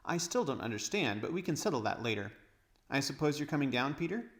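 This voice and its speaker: A man's voice: mid-range voice, very animated, quick pace, pitched above the performer's own voice, lightly acted. A man voicing an argumentative, skeptical schoolboy in a storybook. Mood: impatient.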